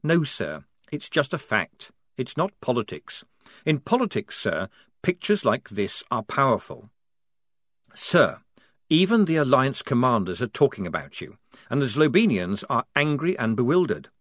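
A man's voice: mid-range voice, big pitch swings, unhurried, no room sound, studio quality. A man voicing a nervous, eager male newspaper editor who talks in a polite, chatty, persuasive way. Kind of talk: audiobook character voice.

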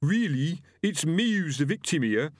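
A man's voice: a little high, very animated, measured pace, dry recording, pitched above the performer's own voice. A man voicing the ghost of a thuggish male butcher who sounds aggrieved and self-pitying and stumbles over long words.

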